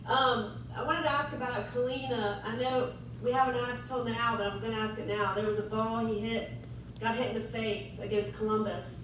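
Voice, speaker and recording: A woman's voice: on the high side, fairly even intonation, moderate pace, far from the mic. A woman with an American accent, a reporter asking direct, friendly questions at a press conference. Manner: direct and friendly, laughs easily. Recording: echoey room with background noise.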